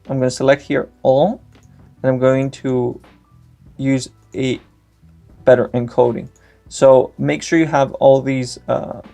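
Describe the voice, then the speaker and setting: A man's voice: mid-range voice, creaky, darkish tone. A young man whose first language is Greek, giving a step-by-step screen-recorded tech tutorial with frequent filler sounds. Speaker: tutorial host.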